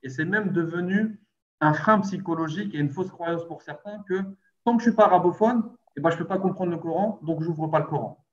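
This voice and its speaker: A man hosting a relaxed talk, speaking in an easy conversational way and putting questions to his guest. His voice sits a little high for a man and comes through a dull, lo-fi recording. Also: fairly quick. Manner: conversational, explains then asks questions. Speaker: host. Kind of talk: casual chat.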